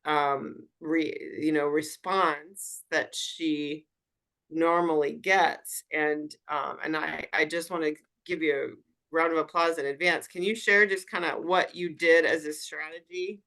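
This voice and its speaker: A woman's voice: low voice, steady tone, a little slow, a bit bright. A woman hosting a coaching group on a video call, affirming each speaker and recapping what they said. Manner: affirming, recaps what others say, lots of mm-hmm. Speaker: host and wellness coach.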